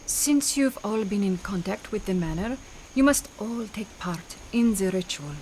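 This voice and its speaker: A woman's voice: medium pitch, animated, measured pace, darkish tone, slight background noise, lightly acted, low-quality recording. A woman playing a formal, commanding and mysterious spirit medium in old-time radio drama style. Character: solemn theatrical female spirit medium.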